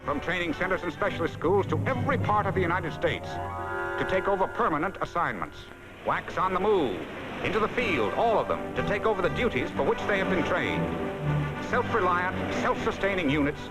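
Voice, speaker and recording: A man narrating a 1940s newsreel in a brisk, upbeat announcing manner. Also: high voice, sing-song, over loud music, poor audio.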